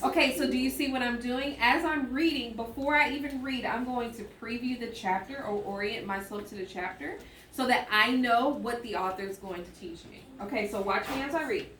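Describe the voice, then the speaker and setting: A roomy, slightly noisy recording catches a woman at some distance from the mic. She is an elementary teacher leading a read-aloud for children. She muses out loud as she goes and keeps checking that her listeners follow. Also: on the high side, brisk. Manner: thinking aloud with musing hums and exclamations. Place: classroom with echo.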